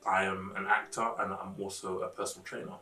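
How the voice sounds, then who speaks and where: A man's voice: low voice, level tone, quick pace, sharp tone, distant mic, soft background music. A man in his late twenties from London, answering interview questions. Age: late 20s. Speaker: actor and personal trainer. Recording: echoey room, off the mic.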